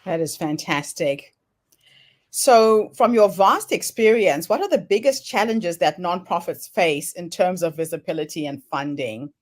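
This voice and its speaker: A woman's voice: lowish voice, animated, a little slow. A woman hosting an interview, asking questions and giving short reactions. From Africa or Australia region. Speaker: interview host.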